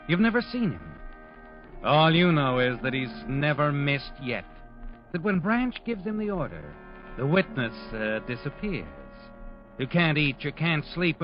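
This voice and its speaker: Sinister omniscient male narrator for vintage radio crime drama; taunting, deliberate, higher-pitched, on a muffled old recording. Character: sinister all-knowing male narrator taunting a guilty man. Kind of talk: 1940s radio mystery narration in second person.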